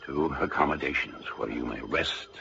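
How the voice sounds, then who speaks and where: A man's voice: extremely low pitch, normal speed, vocal fry, sharp tone, heavily acted. An actor playing a kindly, courtly older father in a radio drama, genteel and musing, with a slightly hesitant delivery. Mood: courteous, reassuring. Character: kindly, courtly, self-deprecating aging father. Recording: muffled vintage radio recording, faint noise.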